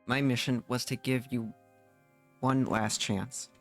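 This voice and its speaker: Male streamer, casual and halting as he reads game lines aloud, soft music underneath. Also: medium pitch, fairly even intonation. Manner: halting, repeats words while reading. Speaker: streamer playing and reading along.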